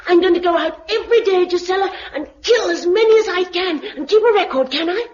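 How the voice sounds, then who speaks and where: A keen, boastful young British girl with a very high, piping voice. She speaks in 1950s radio drama style, on a dull vintage recording. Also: fairly quick. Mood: excited, gleeful. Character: eager, boastful young girl who relishes killing monster plants.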